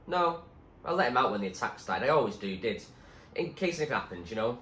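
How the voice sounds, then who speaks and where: An eager storybook boy at sea, played by a man, blunt and earnest. The voice moves around in lively swings, a little raised. There is room echo and faint noise around it. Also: medium pitch, fast talker, pitched above the performer's own voice. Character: young boy castaway, plain-spoken and eager.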